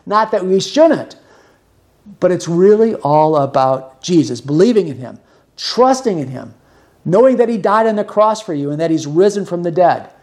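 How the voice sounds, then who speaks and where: A man with a somewhat high voice delivers a sermon in a conversational way. He explains each point earnestly, often repeating a phrase to drive it home. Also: darkish tone. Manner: earnest, explanatory, uses repetition for emphasis. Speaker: preacher and podcast host.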